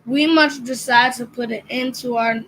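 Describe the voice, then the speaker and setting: A young reader reading a picture book aloud, carefully and with occasional stumbles on words. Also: female voice, on the high side, steady tone, moderate pace, a bit bright, a little hiss or hum, poor audio. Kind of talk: slow read-aloud.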